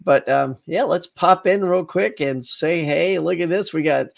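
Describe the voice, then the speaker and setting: A middle-aged man hosts a relaxed live morning chat, his voice sitting a touch high. He sounds a little congested and rambles along, restarting sentences and tossing in plenty of fillers. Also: measured pace, creaky. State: slightly congested. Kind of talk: casual live-stream chat with viewers.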